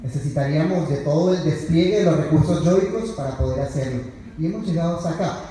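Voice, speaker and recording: High-voiced young man speaking in echoey hall. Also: fast talker, warm tone. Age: young adult. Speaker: graduating psychology student giving a speech. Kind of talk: prepared graduation speech read at a podium. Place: large university auditorium, indoors. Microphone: podium microphone, picked up by distant audience camera. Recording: echoey hall from far off, faint noise.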